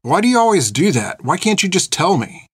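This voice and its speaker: A man's voice: fairly high pitch, sing-song, fairly quick, no room sound, voice pushed up. An inquisitive young girl, voiced by a male narrator, stubborn and earnest in her questioning. It is an audiobook character part, recorded dry and close. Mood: insistent, frustrated.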